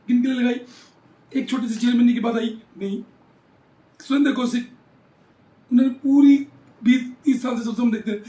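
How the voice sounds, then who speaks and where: A man's voice: very high-pitched, mellow. A man giving political commentary in an interview, emphatic and repeating phrases for effect. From Haryana, India. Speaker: former local chairman being interviewed. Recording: roomy, echoing sound.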